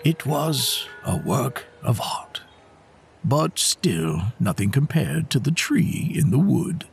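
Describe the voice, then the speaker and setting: Guy reading a kids story, super swoopy expressive delivery, bit gravelly, soft music under. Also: mid-range voice, slow. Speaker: storyteller, narrator. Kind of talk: scripted children's story narration.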